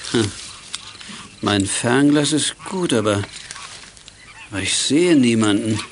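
An actor playing a calm, friendly guardian of children in a radio play. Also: male voice, mid-range voice, slow pace, a little hiss or hum. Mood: calm, doubtful.

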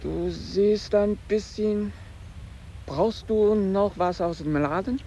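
A man's voice: sits high, dark tone, lo-fi sound, slight background noise. An actor in a German radio drama playing an uneasy shopkeeper who is evasive and placating with his friend. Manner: evasive, hurried excuses.

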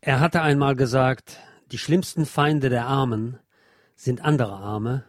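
A man working as a radio host, reading a written obituary aloud in a measured, deliberate way. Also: medium pitch, steady tone, dry recording. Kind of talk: read-aloud scripted obituary narration.

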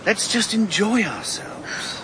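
Radio play: a self-assured husband, lively and fairly high voice, teasing, hiss behind. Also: male voice, slow, heavily acted. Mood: breezy. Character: Neville, confident, breezy sporting husband. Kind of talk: BBC radio drama acting.

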